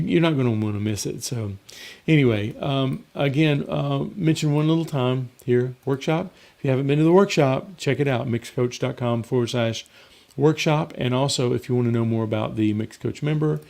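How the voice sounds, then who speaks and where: Gravelly, slightly hoarse man chatting. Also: mid-range voice, darkish tone. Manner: rambling and conversational, with frequent fillers and restarts. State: slightly hoarse, losing his voice. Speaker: mixing coach and show host. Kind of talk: casual live stream Q&A.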